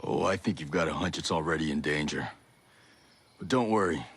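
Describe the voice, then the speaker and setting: An actor playing a steady, helpful special forces soldier with a husky voice in a video game. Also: male voice, extremely low pitch, fairly quick, full character voice. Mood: steady, reassuring. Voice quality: husky, gravel-edged. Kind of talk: video game cutscene acting.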